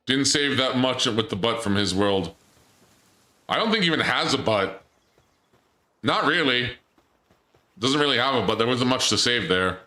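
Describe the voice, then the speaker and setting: A man's voice: mid-range voice, lively intonation, normal speed, long pauses, bright, forceful tone, echoey room. A man streaming a game, chatting casually and joking while he reads chat aloud. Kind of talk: casual gameplay commentary.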